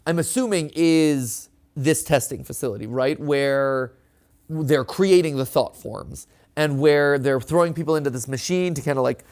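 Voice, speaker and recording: A man hosting a reaction show, theorizing casually in an animated, conversational way and often checking in with a tag question. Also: medium pitch. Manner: animated, conversational, often ends points with "right?".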